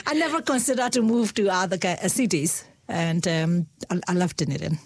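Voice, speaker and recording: Burmese-accented woman, halting and gentle. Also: fairly low pitch, breathy edge. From Myanmar. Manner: halting, repeats words, gentle laughs. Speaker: guest. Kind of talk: casual conversation.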